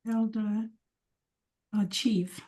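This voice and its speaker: A woman moderating a webinar over a video call, introducing each speaker gently and with hesitant fillers. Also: mid-range voice, little pitch movement, extremely slow.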